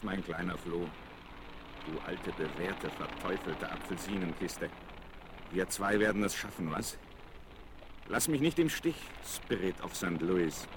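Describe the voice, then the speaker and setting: A plucky aviator alone in his cockpit, deep-voiced and affectionate, talking to himself. It is an old radio play with clear engine noise behind. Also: measured pace, pauses often. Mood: fond, coaxing. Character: plucky young male pilot talking fondly to his plane. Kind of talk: 1970s radio play acting. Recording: noisy vinyl record, engine drone behind.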